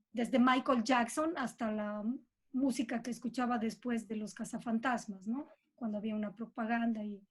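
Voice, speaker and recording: High-voiced Bolivian woman in conversation. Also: a little mellow. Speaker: composer being interviewed. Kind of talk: reflective conversational interview.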